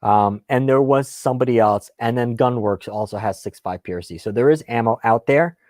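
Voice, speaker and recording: A man's voice: mid-range voice, a little fast, a little mellow, no room sound, studio quality. A man whose first language is Russian, hosting a casual live question-and-answer stream about guns in a rambling, self-deprecating, lightly salty way.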